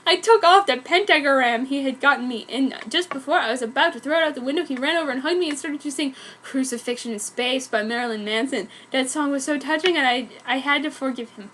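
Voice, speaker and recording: A woman's voice: sits high, brisk, few pauses, fairly bright tone, slight background noise. A woman narrating fan fiction as a dramatic comic reading, with exaggerated earnest storytelling.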